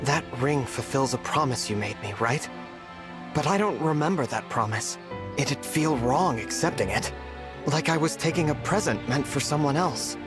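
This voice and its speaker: An actor playing an earnest, soft-hearted young hero in a video game, hesitant and sincere. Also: male voice, mid-range voice, expressive, moderate pace, music in the background, lightly acted. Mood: apologetic, gentle. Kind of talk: scripted video game voice acting.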